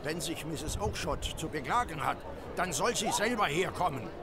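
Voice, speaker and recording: An actor playing a gruff, irritable, blunt poultry dealer in a German audio drama. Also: male voice, fairly high pitch, animated, moderate pace, heavy background noise. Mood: angry, fed up. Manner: blunt, swaggering, coarse.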